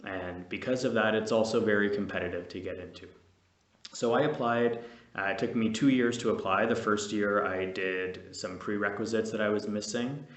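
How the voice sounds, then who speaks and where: A man's voice: on the low side, fairly bright tone. A young man, vlogging casually and a bit nervously, rambling with many fillers and restarts. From Canada. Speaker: vlogger, veterinary student. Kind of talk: casual to-camera vlog. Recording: echoey room, distant mic.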